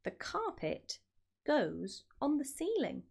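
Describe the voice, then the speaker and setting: Animated woman reading a children's story. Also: sits high, slow pace, gravelly. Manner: addresses child listeners with questions. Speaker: storyteller, teacher reading aloud. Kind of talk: expressive children's story narration.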